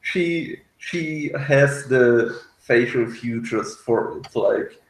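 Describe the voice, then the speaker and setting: A man in casual review chat as a co-host, speaking in a hesitant, halting way. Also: mid-range voice, reverberant, low-quality recording. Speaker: podcast co-host reviewing a TV series. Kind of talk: casual review chat, partly reading notes aloud.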